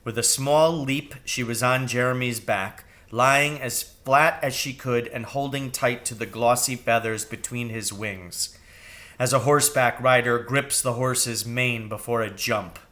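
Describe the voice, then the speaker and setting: Guy reading a kids book out loud, takes his time, bright clear-cut tone, expressive and deliberate. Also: medium pitch. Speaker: storybook reader. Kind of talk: expressive scripted narration of a children's novel.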